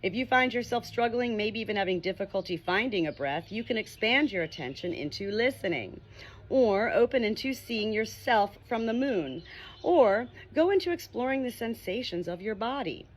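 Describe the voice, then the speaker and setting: A woman reading a meditation book aloud as scripted narration, in a measured, expressive way. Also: fairly high pitch. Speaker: audiobook reader.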